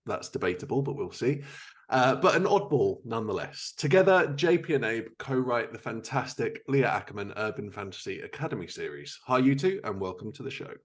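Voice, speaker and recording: A man's voice: medium pitch, sing-song, a little fast. A man hosting a podcast, reading an upbeat scripted intro and then teasing his guests playfully in the interview. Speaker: podcast host.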